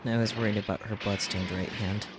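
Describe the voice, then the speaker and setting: A man's voice: fairly low pitch, flat delivery, fairly quick, noisy background. A man reading a visual novel's script aloud as a streamer, in a dramatic storytelling style. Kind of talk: dramatic read-aloud narration of a game script.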